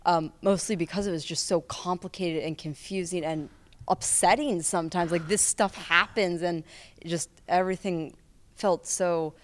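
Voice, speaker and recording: Low, clear-voiced teenage girl. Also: steady tone, moderate pace. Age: late teens. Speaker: student actor being interviewed. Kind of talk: casual earnest interview answer.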